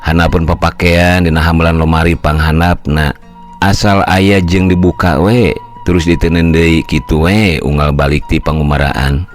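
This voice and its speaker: An older male storyteller with an extremely deep, low voice, narrating a folktale at an easy, unhurried pace. Faint music plays softly underneath his calm, formal narration.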